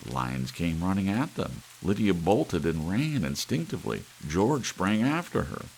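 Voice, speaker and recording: A man narrating a scripted suspense story as an ASMR audiobook, with soft rain behind him. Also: low-pitched, sing-song, unhurried, creaky, rough recording. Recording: faint hiss and soft rain.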